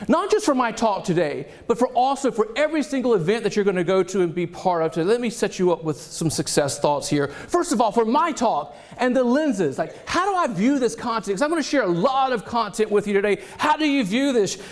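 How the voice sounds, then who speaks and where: A man with a Southern US accent giving an energetic, animated motivational keynote on a conference stage, joking with the audience. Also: high voice, fairly quick, hardly any pauses. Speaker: keynote speaker. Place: conference hall, speaking on stage.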